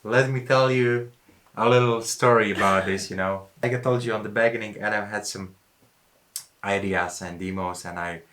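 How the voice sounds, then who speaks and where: A man's voice: lowish voice, steady tone, moderate pace, a bit bright, a little hiss or hum. A man giving a casual tutorial as a music producer, with informal, slightly non-native phrasing. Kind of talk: casual track breakdown tutorial. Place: music studio. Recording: music playing behind the voice.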